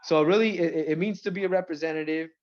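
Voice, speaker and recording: A man from Mexico and Central California, a rapper, chatting casually in an interview with a halting, repetitive delivery full of filler phrases. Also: a little high, a little mellow, muffled recording. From born in Mexico, grew up in Central California. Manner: halting, repeats words, frequent "you know" and "like".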